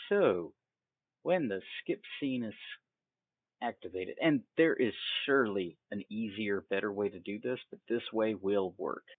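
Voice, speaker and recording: Tinny phone-like recording, male tutorial host, husky voice, swooping intonation, informal step-by-step instruction. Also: fairly low pitch, dry recording. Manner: informal, folksy, thinking aloud. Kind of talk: casual step-by-step software tutorial. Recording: tinny, phone-like narrow band.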